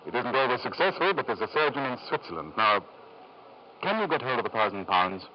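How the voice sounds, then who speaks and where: A man plays a kindly doctor and family friend in old radio drama style. He is gentle and a little hesitant, pitched in the middle. The broadcast sounds muffled with light noise. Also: sing-song, fairly quick, close to an everyday voice. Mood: gentle, uneasy. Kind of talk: 1950s radio drama acting.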